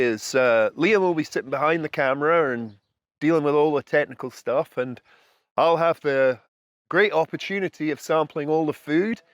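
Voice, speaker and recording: Higher-voiced middle-aged Scottish man. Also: no room sound, clean studio sound. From Scotland. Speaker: host of a food and travel channel. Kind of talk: casual, explanatory introduction to camera. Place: outdoors on a house balcony.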